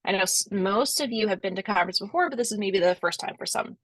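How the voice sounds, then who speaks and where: A woman's voice: medium pitch, fast talker, fairly bright tone, no room sound, poor audio. Chatty Rhode Island woman hosting. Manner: friendly, chatty, reassuring, many fillers. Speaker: webinar host, conference organizer. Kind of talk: casual chat, answering questions. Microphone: video call.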